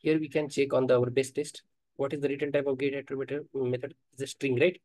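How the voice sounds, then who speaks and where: A man teaching software testing in a screen-recorded coding tutorial, talking haltingly and thinking aloud while he types, with frequent fillers. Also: mid-range voice, flat delivery, no room sound.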